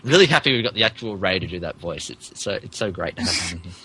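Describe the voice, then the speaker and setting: A man's voice: low-pitched, lively intonation, rapid-fire, noisy background. A man narrating a comic dramatic reading of fan fiction on a podcast.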